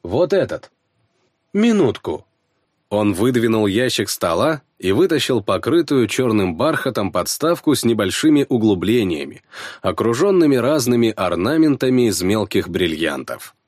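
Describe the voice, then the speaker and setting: A man narrating first-person fiction as an audiobook reader, in an expressive storytelling manner. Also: fairly low pitch, normal speed, no room sound, clean studio sound.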